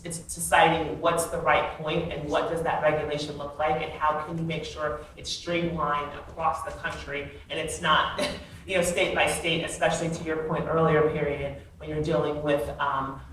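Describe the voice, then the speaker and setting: A woman's voice: low-pitched, fairly bright tone, recorded from a distance, slight background noise. A woman on a conference panel, speaking earnestly with many fillers and restarts. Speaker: panelist, attorney. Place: large conference hall. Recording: echoey hall, mic from afar.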